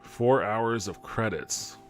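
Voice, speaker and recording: A man with a fairly low voice talks off the cuff like a gaming streamer. He is sardonic and skeptical and swears casually. Music plays behind him. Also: takes it slowly. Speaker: host of a gaming podcast and stream.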